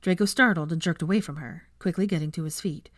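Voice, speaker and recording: A woman narrating a story in an expressive audiobook reading style. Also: fairly low pitch, fast talker, no room sound, clean studio sound.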